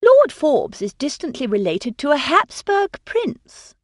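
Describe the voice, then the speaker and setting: A woman voicing a cheerful aristocratic lady who speaks brightly. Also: sits high, very animated, unhurried, no echo, clean studio sound, pitched above the performer's own voice. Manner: bright, chatty.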